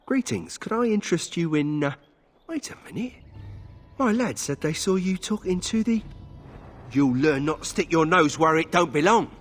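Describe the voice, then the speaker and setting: A man's voice: on the high side, expressive, normal speed, soft background music, natural voice. An actor playing a shady townsman in a video game, an oily hawker with menace underneath. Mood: oily, then threatening. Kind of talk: video game dialogue acting.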